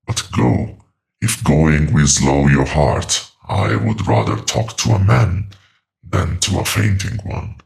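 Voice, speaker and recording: Very deep, gravelly ancient demon. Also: male voice, heavily acted. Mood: calm menace. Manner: menacing, calm, deliberate, mocking. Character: ancient patient male demonic entity living in walls. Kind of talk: horror audio drama acting.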